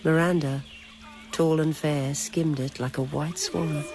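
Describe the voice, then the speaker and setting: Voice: medium pitch, fairly even intonation, slow. A narrator reading literary, scripted prose for a radio drama. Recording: noisy old radio recording.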